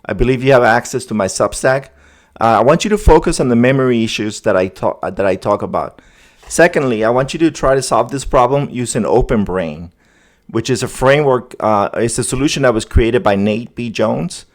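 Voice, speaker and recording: Man, medium pitch, casual tech demo host, conversational step-by-step narration. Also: expressive, a little fast. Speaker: host demonstrating an AI agent setup.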